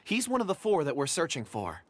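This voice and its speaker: A gentle polite rock lover. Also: male voice, on the high side, wildly swooping pitch, fairly quick, a little hiss or hum. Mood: earnest. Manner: soft-spoken, polite, earnest. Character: gentle young man who loves rocks, polite.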